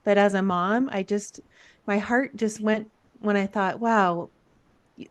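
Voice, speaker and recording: A woman's voice: mid-range voice, fairly even intonation, a little slow. A woman hosts an interview podcast, gushing openly about her guests. Her sentences wander and restart as she piles thought upon thought before landing on a question. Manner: effusive, rambling, restarts sentences. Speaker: podcast host. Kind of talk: casual interview.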